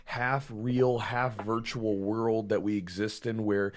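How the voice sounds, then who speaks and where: Slightly hoarse male podcast host, very deep voice, loose rambling delivery. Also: slow, a little hiss or hum. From Louisville, Kentucky. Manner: rambling, animated storytelling with many fillers. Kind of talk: casual podcast chat.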